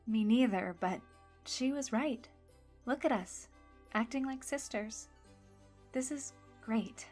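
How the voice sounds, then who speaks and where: A woman's voice: fairly high pitch, slow pace, pauses often, a little mellow, soft background music. A woman playing a wry, understated teenage schoolgirl in an audio drama. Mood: tentative, warm. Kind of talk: scripted audio drama dialogue.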